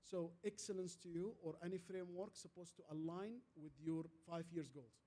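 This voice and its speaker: A man with an Arabic accent speaks as the guest in a fireside chat. His voice is soft-edged and mellow, sitting a little high for a man. He tells anecdotes haltingly, repeating words and landing on short, emphatic phrases. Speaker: guest speaker, transformation leader.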